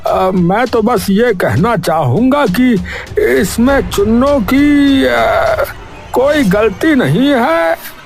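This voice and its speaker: A man acts out a blustering, shifty village headman for a cartoon story. His voice sits very high for a man and swings about, with a creaky edge. He speaks slowly, stalling and hemming, over soft music. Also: expressive, heavily acted. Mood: defensive, insistent. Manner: hemming and hesitant, with stalling filler sounds. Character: evasive, blustering village headman, a husband hiding things. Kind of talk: Hindi cartoon story voice acting. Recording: music under the voice.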